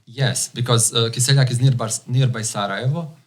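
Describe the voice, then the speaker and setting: A man in his thirties from Bosnia, a non-native English speaker whose first language is Croatian, talking haltingly as a podcast guest with many fillers. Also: mid-range voice. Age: 30s. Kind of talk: casual interview chat.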